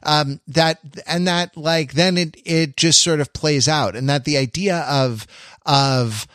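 A man co-hosting a podcast, talking through a film casually and haltingly, repeating phrases as he thinks aloud. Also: on the high side, fairly bright tone, no room sound, studio quality. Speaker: podcast co-host.

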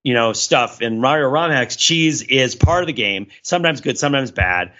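A man's voice: mid-range voice, expressive, normal speed, bright, forceful tone. A man co-hosting a podcast, talking analytically and conversationally, explaining at length and building his points through examples. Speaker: podcast co-host. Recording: dull, lo-fi voice recording.

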